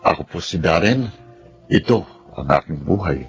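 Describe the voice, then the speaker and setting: Earnest remorseful son in a radio drama, low voice, music behind. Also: male voice, lively intonation, moderate pace. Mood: earnest, reflective. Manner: earnest, sentimental. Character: Darren, remorseful grown son telling his life story. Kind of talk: radio drama acting and narration. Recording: music under the voice.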